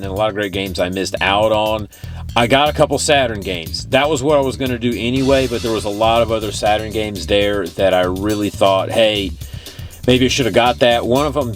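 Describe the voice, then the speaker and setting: A man from Texas with a Texan accent, talking casually and enthusiastically in a rambling vlog style as a retro game collector. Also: lowish voice. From Texas, United States. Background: music.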